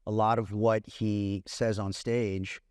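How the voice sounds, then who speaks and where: A low-voiced man in his thirties chats as an interview guest, relaxed and easygoing. He often says "you know" and "man". The recording is dry and close, with no room sound. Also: slow pace, clean tone, no echo. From Puerto Rican family, raised in California. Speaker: guest, celebrity content creator. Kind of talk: casual interview chat.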